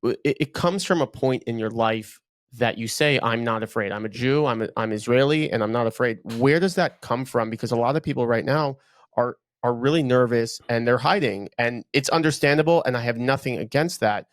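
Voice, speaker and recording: A man's voice: mid-range voice, a little fast, no room sound, clean studio sound. A man hosting a podcast, enthusiastic and casual, repeating words and using lots of fillers as he asks questions. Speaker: podcast host. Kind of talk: casual interview talk.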